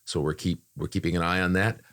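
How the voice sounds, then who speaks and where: An older man with a very low, deep voice, chatting casually on a podcast. He rambles a little and pokes fun at himself as he reports his week's earnings. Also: fairly even intonation, fast talker, fairly bright tone. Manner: rambling, self-deprecating. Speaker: voice-over talent, podcast co-host. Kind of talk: casual chat.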